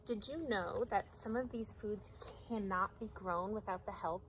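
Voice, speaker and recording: A woman's voice: mid-range voice, normal speed, slight background noise, low-quality recording. A woman teacher reading a picture book aloud to young children, speaking simply and putting prompting questions.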